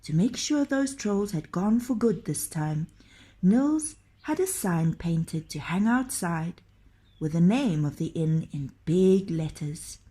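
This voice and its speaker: A woman narrating a children's story in an expressive, dramatic and deliberate way. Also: lowish voice.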